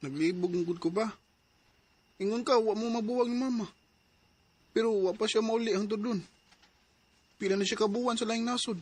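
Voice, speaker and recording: In this audio drama, a young boy with a bright, high-pitched voice keeps asking plaintive questions, as a man voices him. He sounds like a worried son who misses his mother. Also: a little fast, long pauses. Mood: confused. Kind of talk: Cebuano audio drama acting.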